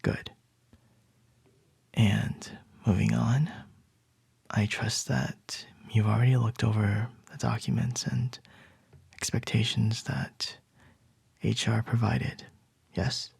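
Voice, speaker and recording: A man playing a calm, charming male CEO boss in an ASMR roleplay, soft and reassuring with a light teasing edge. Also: on the low side, slow, vocal fry, pauses often, slightly rough, no room sound, full character voice. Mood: soothing.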